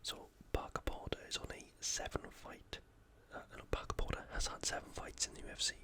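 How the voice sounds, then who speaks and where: An ASMR host speaking in a breathy, unvoiced whisper, giving an informal and slightly hesitant analytical sports preview. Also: a little fast, bright, forceful tone.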